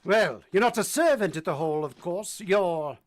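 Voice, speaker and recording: An actor playing an imperious, blunt middle-aged English master of the house in a vintage-style radio drama. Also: male voice, high voice, animated, moderate pace. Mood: brusque, inquisitive.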